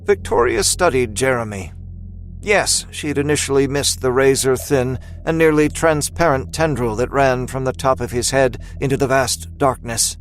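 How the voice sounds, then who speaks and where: A man's voice: mid-range voice, normal speed, a little hiss or hum. A man narrating a scripted audio drama in a dramatic storytelling style.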